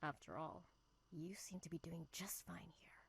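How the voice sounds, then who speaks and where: A woman's voice: low-pitched, fairly even intonation, measured pace, vocal fry, slight background noise. A woman playing a bitter, sarcastic, guarded young woman in a visual novel game.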